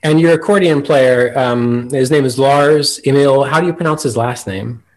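A man's voice: medium pitch, normal speed, poor audio. A man hosting a podcast in a casual, hesitant and good-humoured way. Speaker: podcast host.

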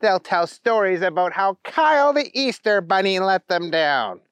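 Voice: mid-range voice, very animated, measured pace, a bit bright, clear, resonant tone, dry recording, pitched above the performer's own voice. A storyteller voicing a naughty, boastful male bunny villain who taunts and whines in a children's story. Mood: gloating. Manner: taunting, whining, exclaiming.

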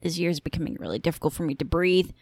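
A woman's voice: low-pitched, expressive, racing, gravelly, a little mellow, no echo, studio quality. A young adult woman hosting a solo podcast, chatting candidly and rambling, coughing now and then from allergies. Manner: rambling, candid, swears casually. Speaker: podcast host. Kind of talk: casual solo podcast chat. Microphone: headphones on, home podcast mic.

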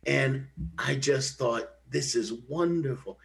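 Older man, from the kansas city area, actor, rambling storyteller, frequent restarts, middle-pitched voice. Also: slow pace, creaky. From Kansas City area, USA. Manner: rambling, many restarts and repeated words. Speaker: actor, interview guest. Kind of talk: conversational interview, storytelling.

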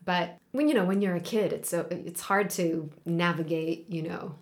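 Lower-voiced woman hosting a chat. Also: moderate pace. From Israel. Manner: hesitant, many fillers. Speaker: podcast host. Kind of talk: casual interview conversation.

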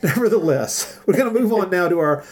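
Middle-aged podcast host, chatty and enthusiastic, mid-pitched voice, relaxed conversational delivery. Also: fairly quick. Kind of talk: casual discussion of old radio dramas.

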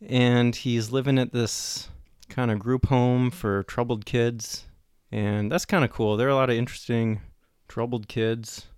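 A man's voice: medium pitch, steady tone, measured pace, hardly any pauses, a little mellow, smooth, no echo, clean studio sound. A man chatting casually and jokingly as a guest on a movie podcast, with a laid-back manner. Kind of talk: casual chat.

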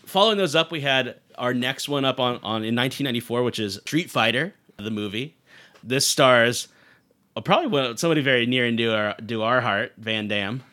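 A man's voice: medium pitch, brisk, bright, forceful tone. A man hosting a podcast, talking casually about movies in an enthusiastic, jokey way. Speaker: podcast host.

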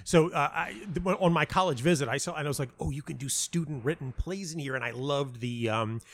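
American man reminiscing in a halting, rambling way. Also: on the high side. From United States (North Carolina). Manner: halting, self-interrupting, rambling storyteller with repeated words. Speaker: interview guest, playwright and audio dramatist. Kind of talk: casual reflective interview conversation. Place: hotel lobby.

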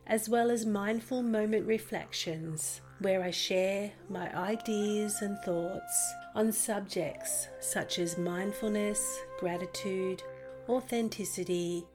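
A woman guides a meditation as a podcast host. Her delivery is very slow and gentle, with long, soothing phrasing at an average pitch. Faint music plays behind her voice. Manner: soothing, gentle, unhurried guiding. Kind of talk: guided yoga nidra meditation narration.

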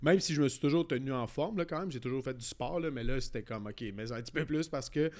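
A man's voice: mid-range voice, fast talker, a little mellow. A man in his late thirties with a Québécois accent, telling stories loosely with a podcast co-host's easy, rambling manner. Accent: Québécois French. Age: late 30s. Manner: rambling storyteller, lots of fillers. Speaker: podcast co-host. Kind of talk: casual chat.

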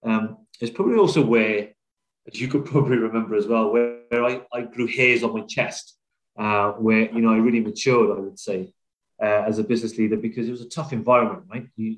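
A middle-aged man from Wales with a British accent, talking conversationally and reflectively as a podcast guest, with frequent pauses. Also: fairly low pitch, rough recording. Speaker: podcast guest, business leader. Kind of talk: conversational interview, telling own career story.

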